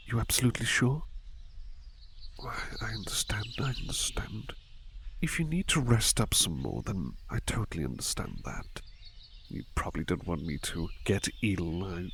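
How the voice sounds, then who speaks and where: A man playing a devoted, fussing servant in a soft-spoken ASMR roleplay, gentle and deferential, hesitating and repeating his reassurances. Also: on the low side, slight background noise. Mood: attentive, deferential. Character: devoted, fussing male servant tending a sick master. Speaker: roleplay performer.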